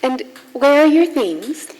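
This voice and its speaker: An actress playing a kindly, gentle New England wife with a welcoming, homely manner in a radio drama. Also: female voice, sits high, big pitch swings, slow pace, heavily acted. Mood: amused, kindly. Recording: reverberant hall, some noise.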